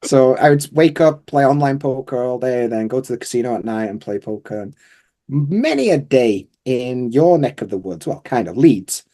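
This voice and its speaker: A man's voice: mid-range voice, lively intonation, moderate pace, dark tone. A man with a Yorkshire accent, chatting informally and self-deprecatingly as a guest in a casual interview. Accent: Yorkshire English. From Yorkshire, England. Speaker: guest, English teacher.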